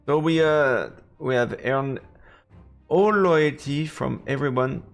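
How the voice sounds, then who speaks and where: Male let's play host narrating a visual novel, unhurried, in a reverberant room with music underneath. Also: mid-range voice. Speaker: game streamer and host. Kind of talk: live let's play, reading visual novel text aloud with casual asides. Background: game music.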